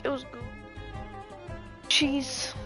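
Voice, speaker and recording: A young woman joking her way through a game, her voice high and her talk slow and unhurried. Faint game music sits behind her. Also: little pitch movement. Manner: playful, joking, slangy. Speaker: gamer streamer. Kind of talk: casual let's-play commentary.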